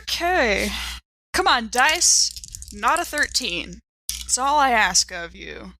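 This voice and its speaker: A woman playing a tabletop game, chatting casually and jokingly in an expressive, wry manner. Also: a little high, slow pace, creaky, slight background noise.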